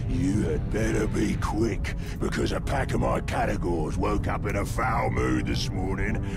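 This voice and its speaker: A man voicing a brutish, treacherous, taunting troll warlord in a video game, with a gravelly, theatrical delivery. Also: mid-range voice, exaggerated voice. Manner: theatrical, gloating, swinging into shouts. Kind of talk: video game cutscene acting. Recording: game music loud behind.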